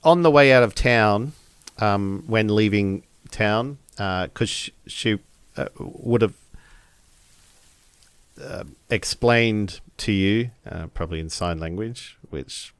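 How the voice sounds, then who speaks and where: A man improvising his character's actions in a tabletop game, halting as he searches for words. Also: lowish voice, clear, resonant tone, no room sound. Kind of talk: improvised roleplay narration.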